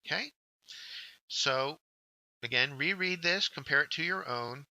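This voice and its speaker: A man's voice: on the high side, a little slow, bright, forceful tone, no echo, low-quality recording. A man giving scripted instructional narration as a workshop instructor, guiding learners step by step and addressing them directly.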